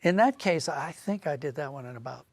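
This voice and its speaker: A man speaking as an interview guest, a writer explaining and telling stories in an animated, conversational way. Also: on the high side, a little fast, no echo.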